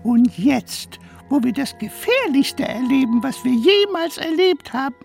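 Casting: harmless, self-important ghost sidekick for a kids' radio play, very high voice, slow theatrical drawl. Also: female voice, animated, vocal fry, a little mellow, faint music behind, heavily acted. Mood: reassuring. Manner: theatrical, plaintive, drawn-out delivery. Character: good-natured, vain, harmless little ghost, loyal sidekick. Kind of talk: children's radio play acting.

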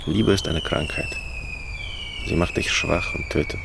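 A taciturn, gloomy doorman in a radio drama. His voice is deep, creaky and slow, dropping terse words over heavy background noise. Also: exaggerated voice. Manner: terse, laconic. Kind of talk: radio play acting.

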